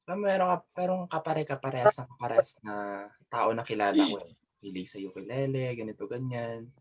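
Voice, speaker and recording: A man with a Filipino accent leads an online class, talking in a loose, chatty way full of fillers and quick switches between languages. His voice sits in an ordinary middle pitch and comes through a video call. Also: a crowd in the background. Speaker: teacher. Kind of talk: online class, casual instructions.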